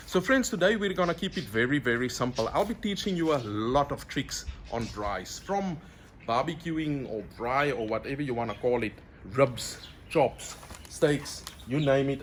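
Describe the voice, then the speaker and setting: A middle-aged South African man with an Afrikaans accent talks to the camera in a chatty, friendly way, calling his viewers friends. His voice sits at an ordinary middle pitch. He is recorded outdoors, with a faint hiss of open air behind him. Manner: chatty, warm storyteller addressing viewers as friends. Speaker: cooking vlogger. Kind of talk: friendly outdoor cooking tutorial. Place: outdoors at a braai fire.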